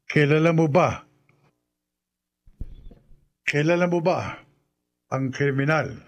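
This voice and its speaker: An actor playing a menacing, commanding killer husband in a Filipino radio horror drama, with a husky voice. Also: male voice, a little high, takes it slowly. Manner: threatening, commanding. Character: menacing killer husband threatening his young brother-in-law.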